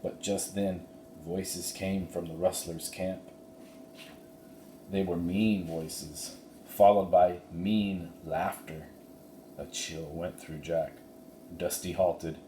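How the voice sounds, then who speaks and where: A man giving an expressive narration of a children's storybook. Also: low-pitched, slow, pauses often. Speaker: story reader. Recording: roomy echo, faint background noise.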